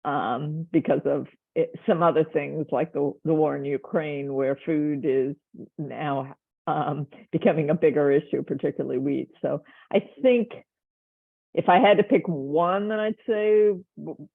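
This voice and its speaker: A woman's voice: sits low, measured pace, creaky, slightly rough, no echo. A woman speaking as a panelist on a video call, thoughtful and hedging with fillers. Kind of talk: virtual panel discussion. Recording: tinny phone-like dry sound.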